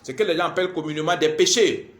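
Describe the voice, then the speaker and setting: A man speaks in a fairly high voice. He delivers a spiritual lecture in a deliberate, instructive way, building it from short phrases and repetitions. The room around his voice is clearly echoey. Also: a bit bright. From Ivory Coast. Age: 40s. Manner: deliberate, didactic, repetitive, halting phrases with frequent verbal tags. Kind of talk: spoken lecture to camera.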